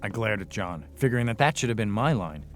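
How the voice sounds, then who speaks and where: An actor playing a weary, sardonic young ghost hunter, narrating and talking in a wry, deadpan way in a radio drama. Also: male voice, on the low side, fast talker, background noise, natural voice. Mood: sardonic, uneasy. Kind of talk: first-person radio drama narration and dialogue. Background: loud dramatic music.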